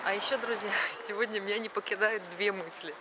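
A woman's voice: mid-range voice, fairly even intonation. A woman in her thirties talking to a handheld camera while walking, drawing out her words and stressing final syllables. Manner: chatty, drawn-out vowels, stress on last syllables. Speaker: vlogger. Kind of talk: walking vlog narration. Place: city street at night, outdoors. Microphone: action camera at arm's length. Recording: street noise outdoors.

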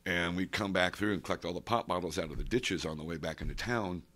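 A man's voice: sits low, fast talker, fairly bright tone. A man in his sixties, interviewed on a stand mic in a studio, telling long rambling stories and wandering off the question. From grew up abroad, Saudi Arabia, Africa and India. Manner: rambling, digressive, loses the question. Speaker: interviewee, comic collector. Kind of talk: rambling oral-history interview. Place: studio with painted backdrop, indoors. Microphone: stand mic close in front.

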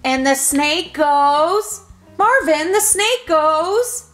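Woman playing an exasperated mom, very high pitch, lively swings. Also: extremely slow, soft background music, heavily acted. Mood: singsong. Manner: frantic and exasperated. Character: flustered young mom. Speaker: mother.

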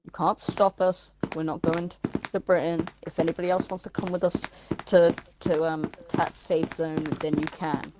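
A woman's voice: low-pitched, flat delivery, normal speed, very noisy, natural voice. A woman playing a stubborn, defiant young runaway in an improvised game roleplay. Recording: loud music over voice.

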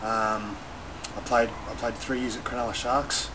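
A young Australian man, a rugby player, speaking casually and a little haltingly in an outdoor interview. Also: on the low side, little pitch movement. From Newcastle, Australia. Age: young adult. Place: open-air stadium stand by a running track. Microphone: camera mic a short distance away. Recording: loud outdoor wind and ambient noise.

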